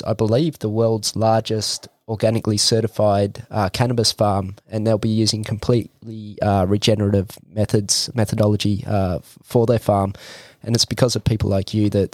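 A man's voice: lowish voice, darkish tone, no echo, studio quality. A man hosting an interview, talking hesitantly with many fillers as he responds to his guest. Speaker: host. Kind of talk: interview host.

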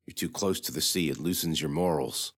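A man voicing a gruff, ailing knight who speaks tersely in a low, grim way. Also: a little fast, heavily acted.

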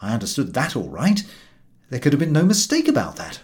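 A man narrating a humorous story as an audiobook reader, in an expressive scripted style. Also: medium pitch, fairly quick.

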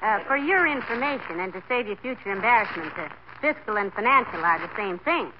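A woman playing a patient, wry wife in a 1940s radio comedy, gently chiding with sweet endearments. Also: medium pitch, lively intonation, a little fast, natural voice. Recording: noisy muffled 1940s radio recording.

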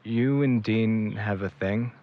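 A man's voice: lowish voice, takes it slowly, muffled recording. A young man acting a dry, unimpressed, hesitant character in an audio drama.

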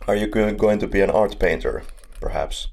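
Deep-voiced man hosting a podcast. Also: lively intonation, moderate pace, gravelly, darkish tone. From Finland. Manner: chatty, upbeat, introduces guests. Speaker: podcast host. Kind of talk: casual podcast chat.

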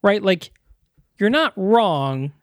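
Podcast host, man, high voice, rambling casual delivery, dry close mic. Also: sing-song, no room sound. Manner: quick, rambling, self-deprecating with many likes.

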